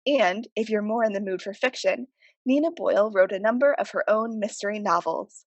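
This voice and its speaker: A woman reading scripted documentary narration in a clear, informative way. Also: medium pitch, normal speed, no room sound, dull audio. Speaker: playwright. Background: music.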